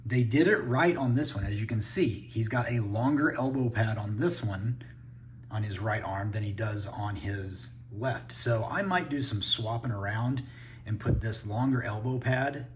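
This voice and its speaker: A man's voice: lowish voice, measured pace, a little hiss or hum. A man giving a casual unboxing review, thinking aloud as he handles the toys. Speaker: toy reviewer.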